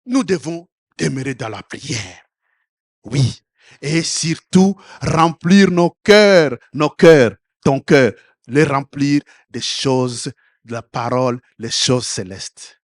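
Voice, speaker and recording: A man preaching a sermon as pastor, with a first language other than French, who repeats and restarts his words and rises to loud exhortation before dropping quiet again. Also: medium pitch, takes it slowly, no room sound, studio quality. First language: another African language.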